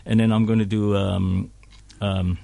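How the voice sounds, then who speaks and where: A man's voice: fairly low pitch, level tone, a little slow, gravelly, mellow, dry recording. A man whose first language is Hokkien, a choir director and singer, talking as a guest on a radio show in a hesitant way, full of fillers and chuckles. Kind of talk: casual radio interview. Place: radio studio.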